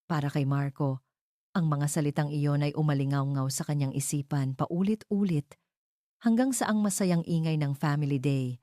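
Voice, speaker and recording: Low, mellow woman storyteller. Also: no echo, clean studio sound. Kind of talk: scripted dramatic narration of a romance story.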